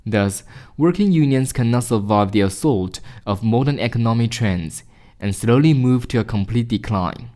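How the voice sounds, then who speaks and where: A man reading short exam passages aloud as a narrator, in a measured, formal manner. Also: medium pitch, lively intonation, warm tone.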